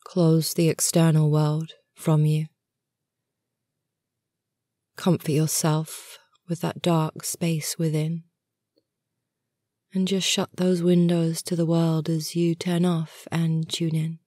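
A woman guiding a meditation in a soothing, gentle and unhurried manner, soft and breathy at the ends of phrases. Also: sits low, little pitch movement, dry recording, clean studio sound. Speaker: yoga nidra meditation guide, channel host. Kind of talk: guided meditation narration.

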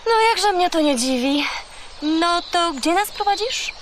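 A woman playing a sensible, protective little girl, calm and gently teasing, in a children's audio drama. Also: extremely high pitch, unhurried, very echoey, long reverb tail, background noise. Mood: matter-of-fact.

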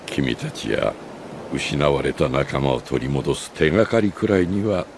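An older man acting a solemn, remorseful old sage in a Japanese video game cutscene, speaking gravely and with deliberate pauses. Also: extremely low pitch, lively intonation, background noise, heavily acted. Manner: grave, measured, philosophical delivery with deliberate pauses.

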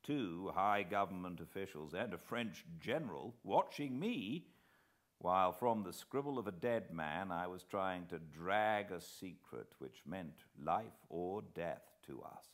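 A middle-aged British man giving a measured, expressive dramatic reading of a story as an audiobook narrator. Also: deep voice. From Britain. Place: living room armchair beside a fireplace.

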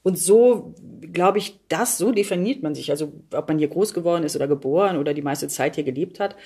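A woman's voice: deep voice, a little fast, dark tone, slightly rough. A middle-aged woman from Berlin chatting casually, telling stories in looping, unfinished sentences. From Berlin, Germany. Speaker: podcast guest, performer. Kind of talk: casual chat.

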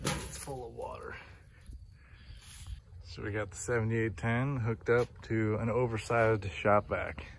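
A man's voice: lowish voice, little pitch movement, a little slow, vocal fry. A young American man giving a plain-spoken, informal vlog narration as a farmer out in his yard, talking into a handheld phone. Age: young adult. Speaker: farmer vlogger. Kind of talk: casual vlog narration while showing farm work. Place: outdoors on a farm among grain bins and machinery. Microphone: handheld phone at arm's length. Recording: muffled phone audio, loud machinery and outdoor noise.

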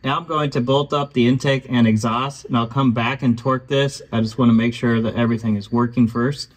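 A man calmly explaining each step of putting an engine back together, voicing over his repair work. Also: medium pitch, fairly quick. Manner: calm, matter-of-fact explaining. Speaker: host of a car restoration channel.

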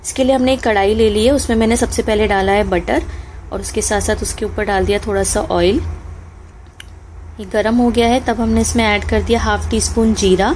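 A woman's voice: mid-range voice, steady tone, fairly quick, a little mellow, slight background noise, low-quality recording. A woman hosting a home cooking channel, talking listeners through a recipe step by step in a friendly, instructive way. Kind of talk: home cooking tutorial voice-over. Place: home kitchen stove.

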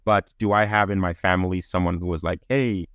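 A man's voice: deep voice, fairly even intonation, brisk, fairly bright tone, dry recording. A middle-aged man from Zambia who later lived in Belgium, giving conversational, explanatory interview answers as a podcast guest and thinking aloud. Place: indoors on a video call.

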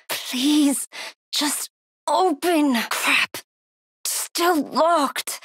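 An actress playing a frightened, devout young woman hiding from killers in a horror video game, urgent, breathy and pleading. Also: high-pitched, a bit bright, no room sound, clean studio sound, heavily acted. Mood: tense pleading.

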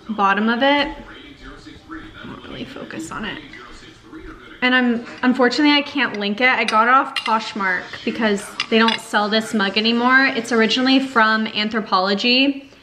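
A woman's voice: on the high side, moderate pace, pushed and bright. Chatty young woman vlogging to camera. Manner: chatty, upbeat, many "like" fillers. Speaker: lifestyle vlogger. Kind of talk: casual vlog chat to camera. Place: home kitchen, then parked car.

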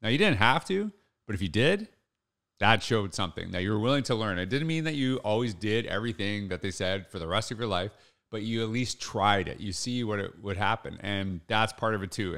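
Low-voiced middle-aged man podcasting casually. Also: fairly bright tone. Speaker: podcast host. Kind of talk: casual solo podcast monologue. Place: small home office, indoors. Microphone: close desk podcast microphone.

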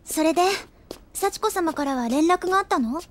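A woman's voice: very high-pitched, expressive, normal speed. A woman playing a cute, headstrong, outspoken teenage schoolgirl in an anime audio drama, forthright and insistent. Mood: eager.

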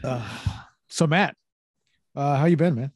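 A man's voice: on the high side, a little slow, a little mellow, breathy edge, no room sound, studio quality. A man co-hosting a casual podcast over a video call, joking and teasing his friends and swearing freely. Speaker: podcast co-host. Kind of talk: casual chat. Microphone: video call with desk microphone.

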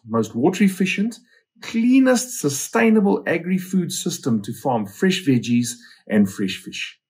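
A middle-aged man with a husky voice, giving a scripted promotional presentation as a company founder. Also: mid-range voice, a little mellow. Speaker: company founder and farmer presenting his farm.

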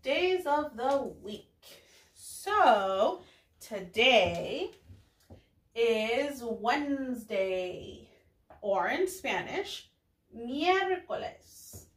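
Very slow-speaking young woman teaching small children. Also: on the high side, big pitch swings. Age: young adult. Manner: deliberate, enunciated, questioning teacher talk for small children. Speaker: preschool teacher. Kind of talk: children's lesson, addressing kids, English with some Spanish words.

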